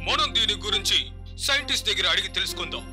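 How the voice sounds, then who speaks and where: A man playing an excitable, insistent television news reporter in a Telugu cartoon dub. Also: high voice, soft background music, exaggerated voice. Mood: brisk, inquisitive. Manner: brisk, insistent questioning.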